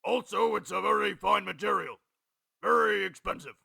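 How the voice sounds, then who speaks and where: An actor playing a pompous, grandiose bureaucrat with a booming, declamatory delivery in an anime parody dub. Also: moderate pace, creaky, bright, forceful tone, slightly rough, heavily acted.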